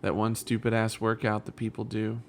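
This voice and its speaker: A man's voice: fairly low pitch, monotone, normal speed, crowd noise. A young man co-hosting a podcast, mostly answering with short agreement and dropping dry, crude one-liners. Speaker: podcast co-host. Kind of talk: casual podcast banter.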